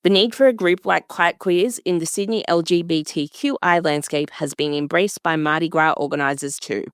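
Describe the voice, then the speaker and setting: A woman's voice: lowish voice, normal speed, clean tone, dry recording, studio quality. A woman reading scripted narration for a radio feature as its producer.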